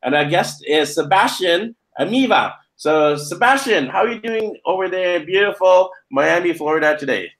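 A man's voice: sits high, animated, moderate pace, hardly any pauses, fairly bright tone. A man hosting a podcast interview, talking enthusiastically and upbeat, with frequent fillers. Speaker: podcast host.

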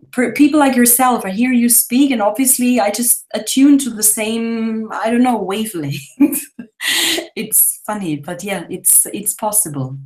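A woman's voice: fairly high pitch, darkish tone. Enthusiastic woman on a call. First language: German. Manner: enthusiastic, talkative, laughs often. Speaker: travel blogger guest. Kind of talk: casual interview.

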